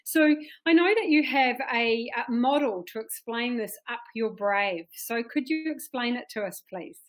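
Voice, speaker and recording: A woman's voice: a little high, animated, unhurried. A woman hosting an interview show, introducing her guest with enthusiasm. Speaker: host.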